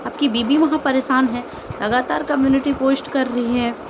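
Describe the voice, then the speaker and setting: A woman with a fairly high voice speaks as an informal commentary vlogger. She is earnest and pleading, often repeating herself and posing rhetorical questions. The sound is somewhat muffled, with clear background noise behind her. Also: steady tone, moderate pace. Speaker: vlogger commenting on online family drama. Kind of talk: informal opinion commentary addressed to viewers.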